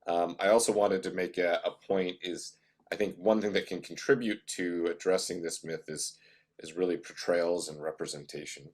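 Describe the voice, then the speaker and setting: Deep-voiced thoughtful man in his fifties. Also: steady tone, normal speed. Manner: thoughtful, peppered with "you know". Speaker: panelist, professor and physician. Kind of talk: video panel discussion.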